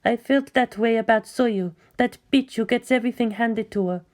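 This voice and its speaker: A woman voicing a devoted, bitter young female follower in a scripted story, with measured, disdainful delivery. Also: fairly high pitch, a little mellow, close to an everyday voice. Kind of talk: scripted audio drama reading.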